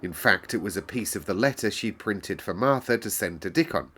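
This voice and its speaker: A man reading a classic children's novel aloud in a calm, measured storyteller's way. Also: lowish voice, breathy edge.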